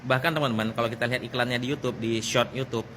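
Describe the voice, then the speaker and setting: A man's voice: medium pitch, fairly even intonation, fast talker, sharp tone, a little hiss or hum. A man gives a casual, conversational explanation as a vlog host, repeating phrases for emphasis. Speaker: sound technician and video host.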